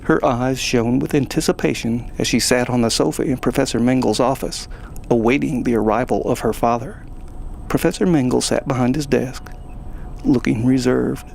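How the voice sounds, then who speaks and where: A man's voice: mid-range voice, steady tone, normal speed, vocal fry, darkish tone, slight background noise, poor audio. A man reading scripted story narration for an audio drama.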